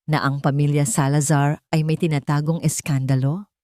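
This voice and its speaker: A woman's voice: low voice, flat delivery, mellow, no room sound, clean studio sound, natural voice. A woman voicing a menacing, scheming rich aunt in a dramatic audio love story, taunting and theatrical. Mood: cruel taunting. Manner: mocking, taunting, slow theatrical delivery.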